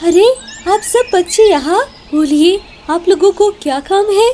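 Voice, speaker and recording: A woman's voice: very high-pitched, measured pace, dark tone, a little hiss or hum. A woman voicing a small, earnest female bird in a cartoon story, with an exclamatory manner. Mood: helpful. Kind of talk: Hindi cartoon story dialogue.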